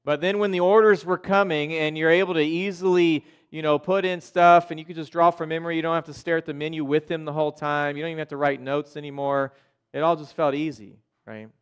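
American guy pastor giving a casual sermon, smooth clear voice, bit on the higher side. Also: steady tone, fast. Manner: informal, checks in with listeners using "okay?" and "right?". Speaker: pastor preaching. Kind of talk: conversational sermon. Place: church sanctuary in front of a congregation.

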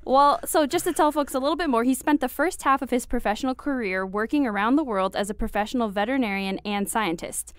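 A woman with a smooth, clear, resonant voice co-hosts a business podcast, reading from notes in a friendly, even manner. The sound is close and dry, with no room around it. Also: a little high, fast talker, few pauses, no room sound. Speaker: podcast co-host. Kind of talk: reading a guest bio, then interviewing.

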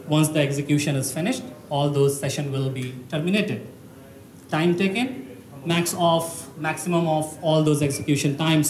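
A man in his thirties giving a technical meetup talk in a room with an audience, speaking into a handheld mic, explaining step by step with fillers. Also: fairly high pitch. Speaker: conference speaker. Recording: roomy hall with faint noise.

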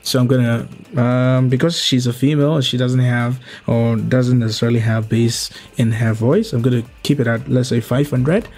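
A young adult man narrating an explanatory tutorial as its host, going through it step by step in a measured way. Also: medium pitch, fairly even intonation, darkish tone. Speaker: host of a video editing tutorial. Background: background music.

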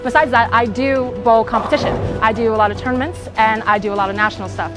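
A woman's voice: on the high side, fairly even intonation, fast, poor audio. Chatty teenage girl over music. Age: teens. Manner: chatty, upbeat, lots of fillers. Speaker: high school bowler. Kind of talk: casual interview. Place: bowling alley. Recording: music playing underneath.

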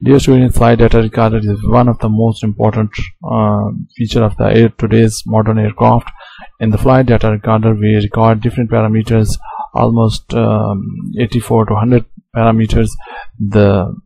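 Gravelly low-voiced male lecturer. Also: flat delivery, mellow, dry recording, poor audio. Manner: halting, frequent restarts and fillers. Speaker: aviation instructor. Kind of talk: slide lecture. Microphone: voice-over on slides.

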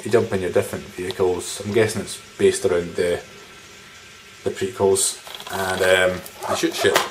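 A man in his thirties with a fairly low voice talks casually to a camera in a small room. He rambles informally and swears a little, and there is faint background noise. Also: level tone, normal speed, rough recording. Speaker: video game collector vlogger. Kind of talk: casual chat showing game pickups to camera. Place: small living room indoors.

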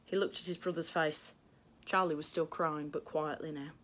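A middle-aged English woman reading a children's story aloud in expressive narration. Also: sits low, normal speed, a little hiss or hum. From England. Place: small book-lined room indoors.

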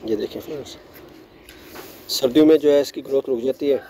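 Mellow-voiced man touring his rooftop garden. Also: medium pitch, fairly quick, a little hiss or hum. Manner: relaxed, chatty, mixes English words into speech. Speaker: gardening vlogger. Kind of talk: casual show-and-tell narration.

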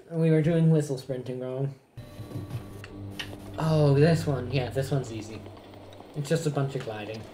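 A gamer bantering casually and playfully through gameplay, with a sarcastic streak. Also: medium pitch. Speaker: gamer co-playing on a shared controller. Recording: roomy echo, game music behind. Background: video game music and sounds.

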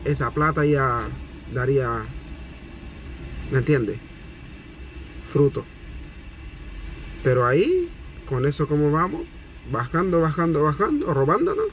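Man in his 30s, fired-up phone rant from a parked car, slightly high voice, faint traffic behind. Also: poor audio. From Haiti. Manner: animated, gesturing, repetitive. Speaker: vlogger. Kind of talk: indignant complaint to camera. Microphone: phone camera at arm's length.

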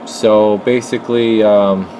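A slow-talking man with a very low voice hosts a casual repair video from his workbench. He thinks aloud as he goes, in an unhurried way. A clear, steady noise hums behind him in the workshop. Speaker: radio repair technician and video host. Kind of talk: casual hands-on repair commentary. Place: indoor electronics workshop bench. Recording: noisy workshop with steady background hum.

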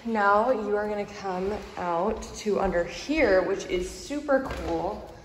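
A bubbly young woman walks through an empty house, chatting casually as she tours each room. Her voice sits at an ordinary middle pitch. It is picked up on a phone held at arm's length, with a faint hiss underneath. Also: slow pace, rough recording. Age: young adult. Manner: chatty, bubbly, heavy use of "like". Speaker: vlogger. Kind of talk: casual house-tour vlog.

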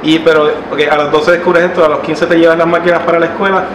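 Higher-pitched male host. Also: flat delivery, fast. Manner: enthusiastic, promotional introductions. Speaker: interview host. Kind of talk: hosted interview. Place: living-room style studio set. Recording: roomy sound from a distant mic with background noise.

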